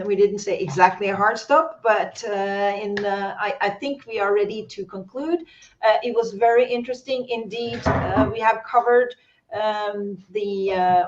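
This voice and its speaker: A woman's voice: medium pitch, a little hiss or hum. Hesitant woman moderating a webinar. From Norway. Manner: hesitant, many fillers and restarts. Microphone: video call. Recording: dull lo-fi call audio.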